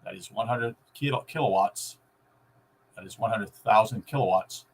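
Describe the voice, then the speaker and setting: A man giving a casual, rambling explanation to viewers in a halting, folksy way. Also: on the low side, breathy edge. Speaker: vlogger explaining radio.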